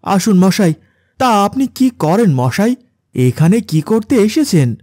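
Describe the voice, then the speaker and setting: A man's voice: sits high, big pitch swings, takes it slowly, darkish tone, dry recording, clean studio sound, heavily acted. A man playing an honest village van driver and worried father, polite and folksy, in a horror cartoon. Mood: reluctant, polite. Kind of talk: Bengali horror cartoon dialogue.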